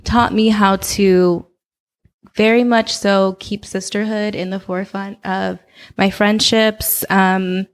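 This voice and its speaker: Young woman from Oakland, low gravelly voice, relaxed chatty interview guest. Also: takes it slowly. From Oakland, California. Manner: relaxed, chatty, with frequent fillers. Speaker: podcast guest. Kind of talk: casual interview chat.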